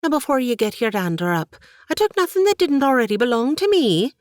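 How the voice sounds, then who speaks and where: A woman's voice: a little high, sing-song, measured pace, dry recording, clean studio sound, voice pushed up. A woman voicing an earnest, stubborn young woman who speaks with rising passion. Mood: impassioned. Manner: emotional, raised voice.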